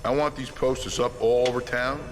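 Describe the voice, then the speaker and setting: A man's voice: mid-range voice, fairly even intonation, brisk, background noise, natural voice. An actor playing a fed-up workshop boss in a video game, blunt and scolding in his way of talking. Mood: exasperated.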